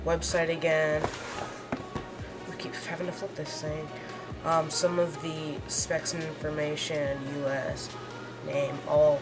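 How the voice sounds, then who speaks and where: A woman with a slightly hoarse voice, rambling through a casual unboxing review with lots of fillers. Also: deep voice. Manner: rambling, halting, many fillers. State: hoarse, under the weather. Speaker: tech reviewer.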